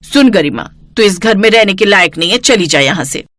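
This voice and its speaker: A woman voicing an older, greedy mother-in-law in a family story, sharp-tongued and disdainful. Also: medium pitch, animated, moderate pace, no room sound, clean studio sound, natural voice. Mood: scolding.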